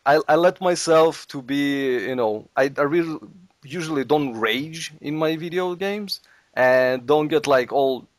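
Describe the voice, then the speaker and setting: A man's voice: a little high, measured pace, no echo. Chatty man, dry sound. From Soviet Union. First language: Russian. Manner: animated, laughs often, loose grammar. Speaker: guest, let's player. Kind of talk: casual podcast chat.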